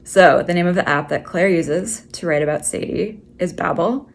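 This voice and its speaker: A woman author posing a trivia question about her novel in a relaxed, plain way. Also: fairly low pitch, moderate pace, gravelly. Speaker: young adult author. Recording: faint background noise.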